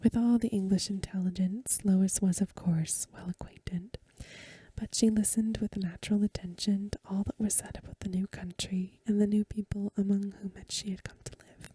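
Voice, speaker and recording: A woman reads a story aloud in a hushed, breathy, mostly whispered ASMR style, unhurried and soothing, close to the microphone. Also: medium pitch, flat delivery, dry recording. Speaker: ASMR reader and host. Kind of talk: soft-spoken ASMR reading of a Victorian story.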